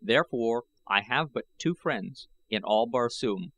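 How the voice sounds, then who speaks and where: Man as a solemn, creaky Martian servant creature. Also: medium pitch, animated, a bit bright. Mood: solemn loyalty. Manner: formal, solemn, measured. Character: loyal, coolly logical Martian creature servant.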